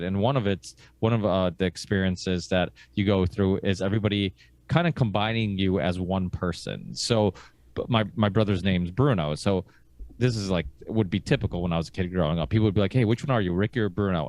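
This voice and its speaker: A man born in Portugal, hosting a podcast and telling stories in a relaxed, rambling way. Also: low-pitched, no echo. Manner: rambling, relaxed storytelling with fillers. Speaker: podcast host. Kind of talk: casual conversation.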